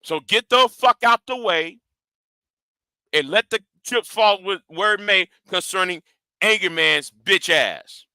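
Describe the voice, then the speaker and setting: A man's voice: high voice, expressive, measured pace, bright, forceful tone, clean tone, no echo. A man ranting in a commentary vlog. He talks confrontationally and swears a lot, repeats and restarts his words, and challenges his audience directly. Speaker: YouTube commentator.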